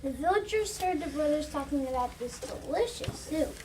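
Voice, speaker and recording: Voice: sits high, expressive, normal speed, darkish tone. A child narrating a scripted story aloud as part of a reader's theater. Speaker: student narrator. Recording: distant mic, noisy room ambience.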